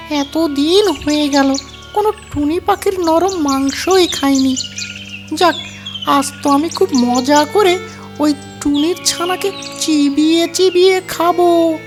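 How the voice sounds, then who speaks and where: A very high-pitched, gloating wicked fox. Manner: gloating, drawn-out words, cackling laughs. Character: wicked, gloating fox who devours baby birds. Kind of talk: children's cartoon character voice.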